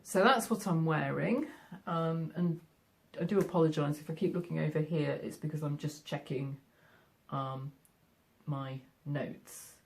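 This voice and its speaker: A woman's voice: deep voice, a little slow, gravelly. A middle-aged woman with a Yorkshire accent, chatting casually to camera about her sewing from her sewing room at home, friendly and full of fillers. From Yorkshire, England. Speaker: sewing vlogger.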